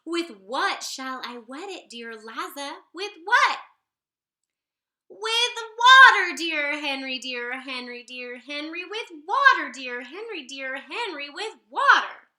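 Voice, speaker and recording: Very bright, animated woman reading aloud. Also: sits high. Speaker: storyteller reading a picture book aloud. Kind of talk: expressive sing-song children's read-aloud. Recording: roomy, echoey sound.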